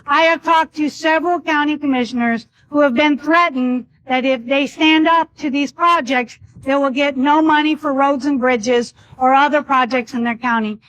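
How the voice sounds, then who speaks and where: A woman's voice: high-pitched, a bit bright, dull audio. A woman speaking at a public meeting, earnestly advising the audience.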